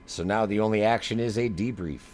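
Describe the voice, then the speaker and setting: Deep-voiced man doing casual game commentary. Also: brisk, a little mellow. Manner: casual, slangy, emphatic. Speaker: flight simulator streamer. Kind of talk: live game demo commentary. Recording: clear background noise.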